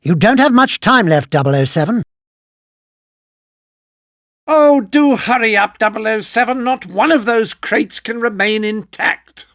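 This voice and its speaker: A man's voice: high-pitched, lively intonation, unhurried, fairly bright tone, no echo, clean studio sound, exaggerated voice. A male actor playing a fussy, exasperated older British quartermaster in a video game, with a pompous, clipped, sardonic way of nagging. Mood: exasperated, scolding. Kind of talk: scripted video game mission briefing.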